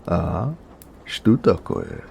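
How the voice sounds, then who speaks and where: A big orc blacksmith acted by a man speaks in a low, gravelly for a romantic audio roleplay. He teases and dotes on his lover playfully, with very lively swings of pitch. Some ambient noise sits behind the voice. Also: very slow, heavily acted. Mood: playful teasing. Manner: playful, flirtatious, frequent chuckling.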